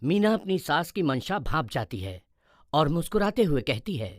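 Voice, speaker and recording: A man narrating an animated moral story in a scripted storytelling style. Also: a little high, moderate pace, no room sound, clean studio sound.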